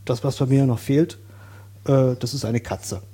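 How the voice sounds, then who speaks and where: A man from Saxony, a guest on an interview podcast, explaining his views in a thoughtful, measured way. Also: medium pitch, steady tone, mellow, husky. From Saxony, Germany.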